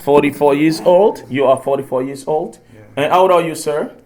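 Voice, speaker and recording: Patient man teaching English, somewhat high voice. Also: moderate pace, darkish tone. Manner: patient, repeats phrases, switches into French. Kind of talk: classroom language lesson. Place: classroom.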